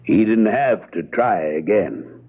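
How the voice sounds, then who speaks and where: An actor playing a reserved, evasive American attorney in old-time radio drama style, hedging in a measured, matter-of-fact way. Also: male voice, fairly low pitch, lively intonation, husky, full character voice. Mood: grave, reluctant. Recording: tinny, narrow-band vintage radio broadcast.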